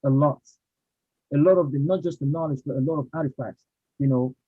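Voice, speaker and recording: A man hosting a casual online chat, talking in an animated, insistent way. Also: a little high, brisk, slightly rough, dry recording. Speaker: host. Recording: dull lo-fi sound.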